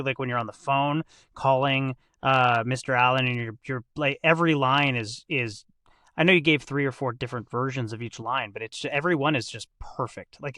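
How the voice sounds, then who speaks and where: A man hosting a casual interview, effusive in his praise and often halting as he searches for words. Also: mid-range voice, sharp tone, no echo, studio quality. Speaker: podcast host.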